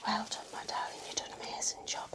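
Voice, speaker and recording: Gentle whispery woman doing asmr nurse checkup roleplay, breathy and reassuring. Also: fast. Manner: gentle, reassuring bedside manner. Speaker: ASMR performer playing a home-visit nurse. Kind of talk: soft-spoken ASMR medical roleplay.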